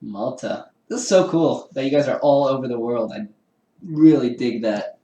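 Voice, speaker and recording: A man's voice: medium pitch, normal speed, dark tone, echoey room, highs cut off. A man chatting casually with live-stream viewers while assembling a kit, laughing often and poking fun at himself. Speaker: livestreamer building a 3D printer kit. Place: home room with a garage downstairs.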